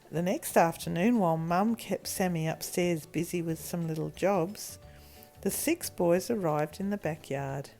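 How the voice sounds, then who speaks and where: A woman reading a children's story in an expressive storytelling manner. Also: on the low side. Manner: animated, deliberate storytelling with acted character voices.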